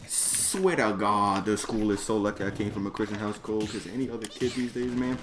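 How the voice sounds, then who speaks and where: A man's voice: on the low side, fairly quick, crowd noise. A man telling a personal story in an emphatic, exasperated way, with plenty of swearing and slang. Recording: clearly noisy background.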